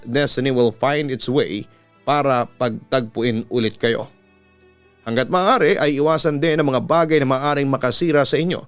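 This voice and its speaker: A man's voice: mid-range voice, clear, resonant tone. A man with a Filipino accent, a radio announcer reading a listener's letter as a dramatic scripted story. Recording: music bed under the voice. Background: instrumental music.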